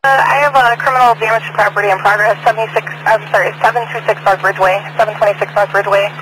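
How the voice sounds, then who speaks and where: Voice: mid-range voice, steady tone, rapid-fire, background noise. A police dispatcher relaying calls over a scanner radio in a procedural way, repeating addresses and numbers. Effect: police radio transmission.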